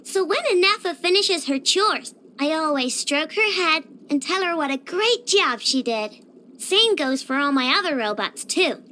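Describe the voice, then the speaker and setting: A woman's voice: high voice, lively intonation, a little slow, sharp tone, a little hiss or hum, full character voice. A woman voicing a childish, playful and bossy little girl inventor with a sweet tooth, as a video game character. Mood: proud.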